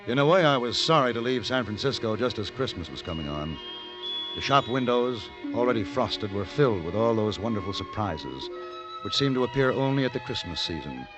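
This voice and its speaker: An actor playing a suave, worldly gunfighter with a courtly, theatrical manner in a 1950s radio western. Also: male voice, lowish voice, sing-song, brisk, over music. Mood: reflective. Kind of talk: 1950s radio acting and narration. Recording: dull vintage radio recording.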